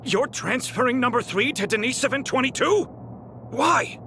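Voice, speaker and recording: An actor playing an earnest, excitable male scientist in a video game, theatrical, eager and easily flustered. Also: very high-pitched, normal speed, vocal fry, a little hiss or hum, heavily acted. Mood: dismayed. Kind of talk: scripted video game voice acting.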